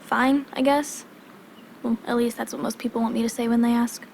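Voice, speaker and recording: A woman's voice: a little high, level tone, brisk, creaky, a little mellow, a little hiss or hum. A woman playing a shy, earnest young girl in grief, in a video game. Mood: subdued, hesitant.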